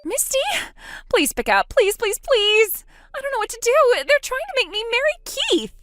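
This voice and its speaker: A woman's voice: very high-pitched, big pitch swings, normal speed, fairly bright tone, dry recording, studio quality, pitched above the performer's own voice, heavily acted. A woman voicing a frightened young woman trapped before a forced wedding, pleading and panicky. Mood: panicked.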